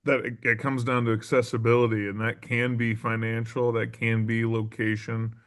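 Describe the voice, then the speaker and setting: Thoughtful man, medium-pitched interview guest. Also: level tone, moderate pace, a bit bright. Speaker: interview guest, theater show creator.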